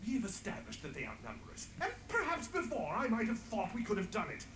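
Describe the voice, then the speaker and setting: An actor playing a panicky, defeatist council leader in a fantasy game, agitated and theatrical. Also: male voice, sits high, wildly swooping pitch, brisk, fairly bright tone, reverberant, slight background noise, heavily acted. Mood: panicked, indignant. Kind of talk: dramatic fantasy game voice acting.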